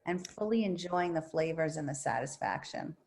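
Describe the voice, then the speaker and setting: Woman therapist, low voice, calm, soothing, answering audience questions. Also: normal speed, vocal fry. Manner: calm, soothing, lively emphasis. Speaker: author and therapist. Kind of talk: virtual book talk Q&A.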